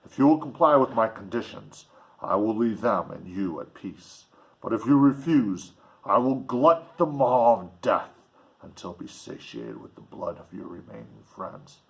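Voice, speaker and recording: A man's voice: fairly low pitch, very animated, vocal fry, full character voice. Guy doing a big bitter wretched monster from a storybook, solemn old-fashioned speechifying, pleading and menacing. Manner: solemn, archaic, deliberate oratory.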